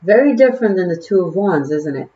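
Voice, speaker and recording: Casual tarot reader, a woman with an Israeli accent and a slightly low voice, rambling through fillers on a muffled home recording. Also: expressive, fast talker, dark tone. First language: Hebrew. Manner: chatty and musing, trailing off with fillers. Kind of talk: casual tarot reading to camera.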